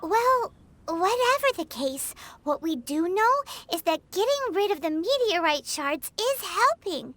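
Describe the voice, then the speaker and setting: A woman voicing a perky, childlike little fairy girl companion in a video game, chatty, blunt and exclamatory with a squeaky tone. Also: sing-song, unhurried, a bit bright, dry recording, heavily acted. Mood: curious, chatty. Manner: exclamatory, refers to self by name.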